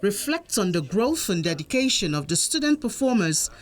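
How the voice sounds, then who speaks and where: A woman's voice: medium pitch, very animated, moderate pace. A woman with a Nigerian accent reading scripted narration as a news reporter.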